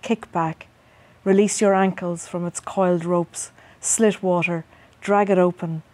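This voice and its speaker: Middle-aged Irish woman, lowish voice, dry studio lapel sound, thoughtful and halting with ums. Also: fairly even intonation, a little mellow, no room sound. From Ireland. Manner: measured, hesitant with many ums. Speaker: poet guest. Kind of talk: interview and poetry reading. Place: TV studio set.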